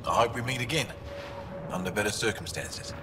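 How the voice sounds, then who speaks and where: A man's voice: fairly low pitch, fairly quick, loud music behind the voice, close to an everyday voice. An actor playing a grateful male resistance leader who talks in a composed, businesslike way. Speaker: game character. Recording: game audio with clear background noise.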